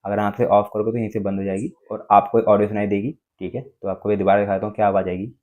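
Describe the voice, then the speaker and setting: A man's voice: lowish voice, little pitch movement, quick pace, a little mellow. An Indian-accented man presenting a casual step-by-step phone tutorial, explaining and often checking in with short tag words.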